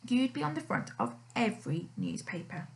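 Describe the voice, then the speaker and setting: A woman's voice: on the high side, sing-song, moderate pace, creaky. Woman voicing a smug, menacing male fox. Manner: growling, snapping, smug threats. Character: sly bullying male fox, cocky blackmailer.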